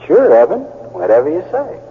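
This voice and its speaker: An actor playing a breezy young cowboy ghost with folksy Western slang in a vintage radio drama. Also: male voice, fairly high pitch, wildly swooping pitch, a little fast, slight background noise. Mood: easygoing. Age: young adult. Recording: muffled vintage radio, long reverb.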